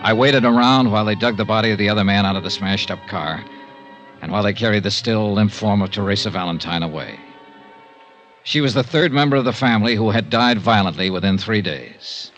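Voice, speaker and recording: An American actor playing a hard-driven, grieving investigator in a 1950s radio drama, with terse, intense delivery. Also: male voice, on the low side, a little fast, fairly bright tone, lo-fi sound, close to an everyday voice. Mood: bitter, subdued. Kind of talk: 1950s radio detective acting with first-person narration. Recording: vintage radio drama with music behind.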